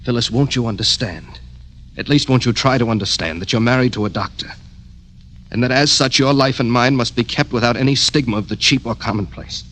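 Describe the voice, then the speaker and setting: An actor playing a proud, embittered doctor in 1950s radio drama, stiff, formal and curt. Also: male voice, medium pitch, brisk, a bit bright, slight background noise, close to an everyday voice, rough recording. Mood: stern, pleading. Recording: old radio drama, faint music behind.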